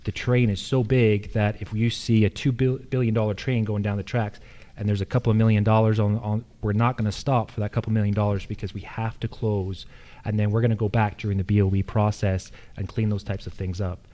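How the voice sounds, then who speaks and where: Male candidate, warm relaxed tone, plain-spoken forum answers. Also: fairly low pitch, a little fast. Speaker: incumbent county assessor and candidate. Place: live public forum on a college campus.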